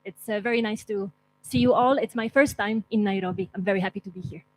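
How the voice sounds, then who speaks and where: A woman's voice: medium pitch, fast, a little mellow, low-quality recording. A woman delegate from Italy giving a short, polite self-introduction at a conference.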